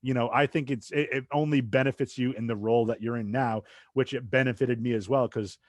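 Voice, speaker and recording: Brief: male podcast host, mid-pitched, light Massachusetts accent, rambling casual delivery, dry close sound. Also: steady tone, brisk, no room sound. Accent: light Massachusetts, drops R's when fast. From southeastern Massachusetts. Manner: rambling, loose, full of asides. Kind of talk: casual interview chat.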